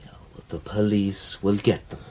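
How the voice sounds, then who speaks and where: Lowish, husky, level-headed British man, acted. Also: steady tone, normal speed, warm tone, lightly acted. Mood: reassuring. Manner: matter-of-fact, explanatory. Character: calm, reassuring, reasoning male amateur investigator friend. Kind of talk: naturalistic British radio drama acting.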